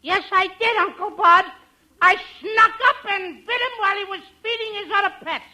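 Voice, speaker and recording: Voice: very high voice, moderate pace, pushed and bright, full character voice. An actor playing a mischievous, whiny little boy who confesses with mock innocence in a 1940s radio comedy. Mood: mock-innocent.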